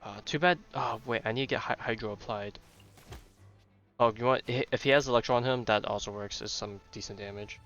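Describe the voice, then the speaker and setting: A man's voice: mid-range voice, fairly quick, a bit bright, muffled recording. A man giving casual live commentary on a game, rambling as he thinks aloud. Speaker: game streamer. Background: game music.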